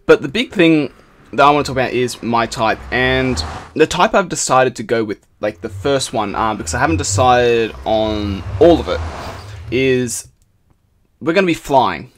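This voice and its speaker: Slightly hoarse young man gaming. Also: mid-range voice, animated, normal speed, a little hiss or hum. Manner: rambling, upbeat, many fillers and restarts. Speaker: gaming channel host. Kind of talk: casual game commentary.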